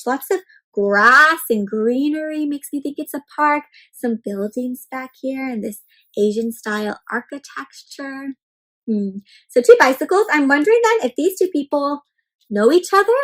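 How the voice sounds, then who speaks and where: A woman with a high-pitched voice leads an interactive picture-book reading for young children. She speaks as a storyteller, in an animated manner, turning to her little listeners with questions and leaving pauses for them to think. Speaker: children's read-aloud storyteller.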